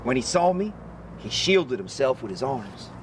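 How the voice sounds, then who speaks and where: A man reading a children's picture book aloud as an expressive narrator. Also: medium pitch, normal speed, noisy background. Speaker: story reader.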